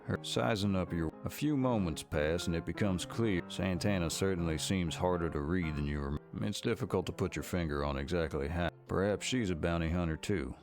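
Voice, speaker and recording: A man's voice: low voice, a little fast, hardly any pauses, over music. A man performing scripted second-person narration as the storyteller of a Wild West mystery game. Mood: measured.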